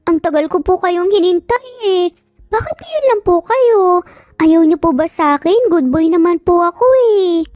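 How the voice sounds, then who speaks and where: A woman's voice: very high-pitched, normal speed, a little mellow, no room sound, clean studio sound, pitched above the performer's own voice. A woman voicing a small, polite, pleading young boy with a childlike Filipino-accented voice in a story drama. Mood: tearful, pleading. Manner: pleading, respectful.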